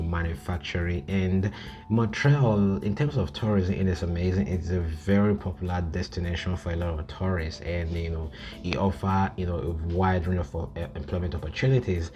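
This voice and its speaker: A man with a low-pitched voice presents an informal informational video. He talks to viewers as a presenter working through a list, in a halting way padded with filler phrases. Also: a little mellow, dull audio. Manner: frequent "you know" filler, halting. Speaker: YouTube presenter.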